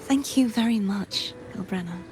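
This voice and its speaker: A woman's voice: medium pitch, moderate pace, a little mellow, soft background music, close to an everyday voice. An actress playing a gracious, earnest young noblewoman with a formal manner in a video game. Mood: solemn gratitude.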